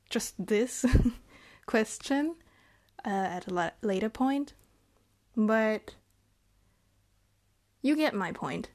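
Slow, thoughtful young woman vlogger. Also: on the high side. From Germany. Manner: thoughtful, hesitant, halting phrasing. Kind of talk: reflective personal monologue.

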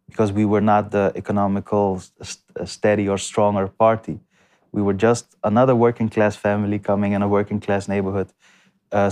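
A man answering interview questions in a halting way, with many restarts and fillers. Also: on the low side, darkish tone. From came to the Netherlands as a refugee. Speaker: guest. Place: lives in north Amsterdam.

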